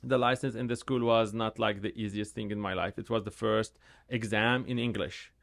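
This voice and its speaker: A man in his thirties, a real estate agent whose first language is Arabic, telling his story in a casual interview in halting, repetitive English that livens up when he tells a story. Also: fairly low pitch, gravelly. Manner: halting, repeats words, animated when telling stories.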